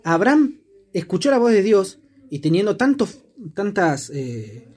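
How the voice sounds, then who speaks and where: A man's voice: high voice, very animated, unhurried, darkish tone. A man with an Argentine accent explaining his views in a casual discussion, reflective and asking rhetorical questions. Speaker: discussion participant.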